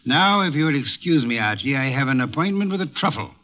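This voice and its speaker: An actor playing an imperious, surly gourmet detective in a 1950s radio drama, with pompous, curt, precise formal diction. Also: male voice, mid-range voice, sing-song, fairly quick, natural voice. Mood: dismissive, haughty. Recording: dull, muffled vintage radio recording.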